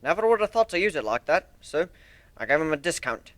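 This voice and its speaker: A man's voice: a little high, big pitch swings, a little fast, no room sound, pitched above the performer's own voice. A man voicing a boastful, hearty minotaur airship builder in a dramatic story reading, with a brash, folksy way of talking. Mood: boastful, explaining. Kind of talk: dramatic fan fiction reading.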